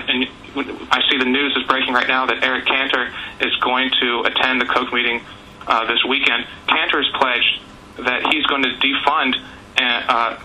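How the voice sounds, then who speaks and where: A man's voice: medium pitch, sharp tone. A man arguing insistently and choppily as a panelist answering a question on a press teleconference. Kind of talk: argumentative press call answer. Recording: noisy telephone conference line.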